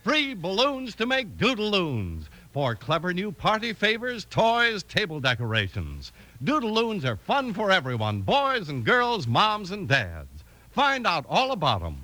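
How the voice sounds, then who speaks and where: A man's voice: high voice, wildly swooping pitch, measured pace, bright, forceful tone, low-quality recording. An American man announcing and narrating in emphatic, theatrical 1950s radio style, reading from a script. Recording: old radio transcription.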